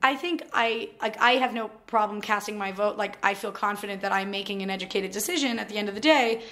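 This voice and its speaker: A woman's voice: medium pitch, fast talker, a bit bright. A woman answering interview questions confidently, talking freely with "like" and "I mean". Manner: confident, talkative, uses "like" and "I mean". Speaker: graduate student guest.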